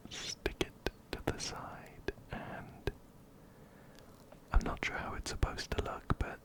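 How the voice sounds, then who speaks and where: A man whispering softly and breathily in an ASMR gameplay commentary, close up to a binaural microphone, unhurried and often repeating his words. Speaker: ASMR gaming video host. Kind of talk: ASMR let's play commentary. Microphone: close-up binaural microphone, ear to ear.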